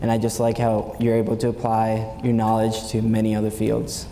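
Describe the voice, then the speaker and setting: A man's voice: on the low side, monotone, moderate pace, mellow. A young man from El Salvador, a student panelist, giving plain, brief answers. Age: young adult. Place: event stage in a large hall. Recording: roomy hall with audience, faint noise.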